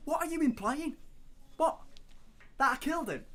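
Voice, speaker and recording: A woman's voice: sits high, animated, moderate pace, a bit bright. A woman playing a distraught, defensive and protective brother in an audio drama, with emphatic, stammering protests. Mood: defensive, agitated. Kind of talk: dramatic audio scene acting. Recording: clear background noise.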